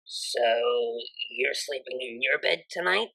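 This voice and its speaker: A woman's voice: a bass voice, takes it slowly, creaky, bright, forceful tone, voice pushed down, exaggerated voice. A woman acts out a flustered young female unicorn DJ from a pony story. She speaks informally and hesitantly, tripping over her words with awkward, smitten shyness.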